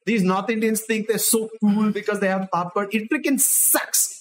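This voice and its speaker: A man's voice: high-pitched, normal speed. A young man co-hosting a casual livestream chat, reading viewer comments aloud and teasing his friends, swearing freely. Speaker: podcast co-host reading live chat.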